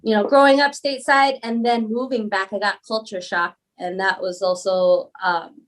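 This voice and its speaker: A woman's voice: medium pitch, steady tone, moderate pace. A woman from Palau, a podcast guest, talking casually and laughing often as she tells her story. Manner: rambling and laughing easily. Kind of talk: casual interview chat.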